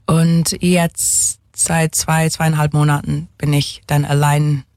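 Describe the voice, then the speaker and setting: Woman from England, English-accented German, hesitant, lower voice, dry close sound. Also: little pitch movement, darkish tone, no room sound. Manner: halting, searching for German words, many "ähm"s, mixes in English words. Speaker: guest, single mother. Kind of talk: casual interview.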